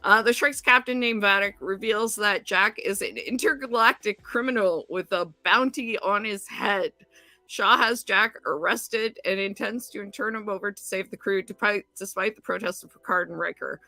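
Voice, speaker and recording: A woman's voice: mid-range voice, pushed and bright, dry recording. A woman podcast co-host, possibly, recapping TV episodes in casual chat, rambling with many fillers.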